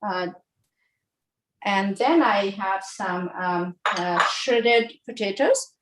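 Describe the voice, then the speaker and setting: A woman's voice: mid-range voice, takes it slowly, background noise. Hesitant woman chatting while she cooks. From Bangladesh. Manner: hesitant, many fillers and restarts. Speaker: home cook guest. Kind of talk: casual cooking demonstration.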